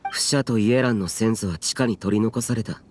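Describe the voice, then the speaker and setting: An actor playing a stern, reserved guardian warrior in a game cutscene, speaking formally in a measured, restrained and archaic way. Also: male voice, fairly low pitch, a little hiss or hum, close to an everyday voice. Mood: grave, reflective. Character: stern, stoic yaksha guardian, reserved and grave. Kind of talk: dramatic game cutscene dialogue.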